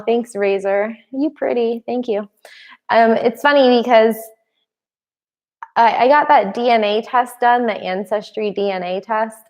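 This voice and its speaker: Chatty woman with a gravelly edge. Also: mid-range voice, a little mellow. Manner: chatty, reads viewer comments aloud and answers them; laughs often between remarks. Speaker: live stream host. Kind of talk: casual live Q&A chat.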